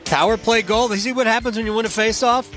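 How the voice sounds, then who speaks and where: A man hosting a hockey radio intermission, talking through highlights in an unscripted, halting way and often correcting himself. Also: fairly bright tone, soft background music. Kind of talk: unscripted sports highlight commentary.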